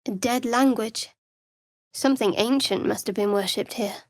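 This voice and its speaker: A woman's voice: fairly high pitch, moderate pace, dry recording, close to an everyday voice. An actress voicing a guarded, sardonic young woman cleric in a fantasy video game, with a dry, wry and reserved delivery. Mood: wary, curious. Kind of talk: video game character voice acting.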